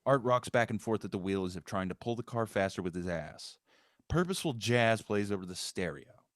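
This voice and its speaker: A man with a lowish voice narrates a screenplay. He reads the stage directions as scripted prose, recorded very dry with no room sound. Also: animated, fairly quick, no room sound.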